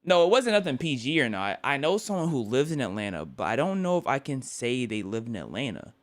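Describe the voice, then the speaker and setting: A man's voice: medium pitch, very animated, brisk. Tired, rambling young man streaming. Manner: rambling, joking, repeats words and restarts. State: tired, late at night. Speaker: YouTuber live-streaming to chat. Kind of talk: casual live-stream chatter.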